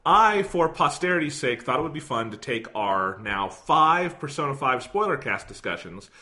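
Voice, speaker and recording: A slightly hoarse man co-hosting a casual podcast, rambling and self-deprecating, with lots of fillers. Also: mid-range voice, very animated, normal speed, sharp tone. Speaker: podcast co-host.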